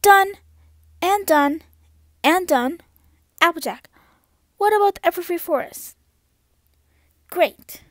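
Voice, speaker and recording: A woman's voice: extremely high pitch, animated, slow pace, pauses often, no echo, studio quality, voice pushed up, heavily acted. A young woman voicing an earnest, anxious young female cartoon pony in an urgent, emphatic way. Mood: brisk, earnest. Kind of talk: amateur cartoon voice impression.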